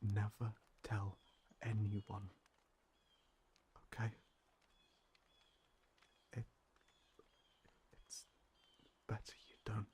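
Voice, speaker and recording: A performer acting a timid, shaken, tender survivor in an ASMR audio roleplay, halting and apologetic, with a husky, breathy, creaky voice. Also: low voice, flat delivery, dark tone, slight background noise. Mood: cautious, hushed.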